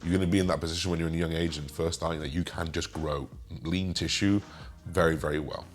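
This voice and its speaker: A man in his twenties with a slightly raspy voice, chatting casually as he answers viewer questions and rambles with fillers. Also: deep voice, fairly even intonation, quick pace, faint music behind. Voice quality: raspy today. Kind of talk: casual Q and A monologue.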